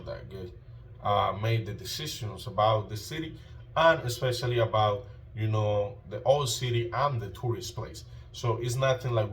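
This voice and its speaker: A Colombian man with a lowish voice hosts a casual vlog, recorded a little away from the mic. His Spanish-accented speech is slangy and informal, circling back over phrases with lots of "you know". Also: distant mic, slight background noise. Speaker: YouTube host.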